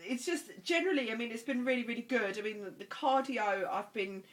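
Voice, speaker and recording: A woman vlogging in a chatty, animated way, her voice stuffy and congested from a cold. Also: medium pitch. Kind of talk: casual chat.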